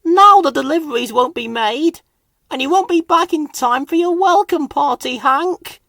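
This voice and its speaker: A man's voice: extremely high pitch, normal speed, sharp tone, dry recording, studio quality, voice pushed up, exaggerated voice. A man voicing a proud, stubborn, easily huffy little tank engine in a children's storybook. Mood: dejected, upset. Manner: huffy, puffing, emphatic.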